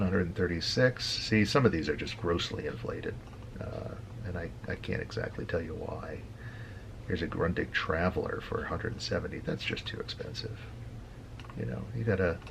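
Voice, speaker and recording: A middle-aged man with a very deep voice talks through an informal tutorial. His delivery is gravelly and halting, as he thinks out loud while browsing. Faint noise sits behind him. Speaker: radio hobbyist presenting a tutorial. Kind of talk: unscripted casual explainer.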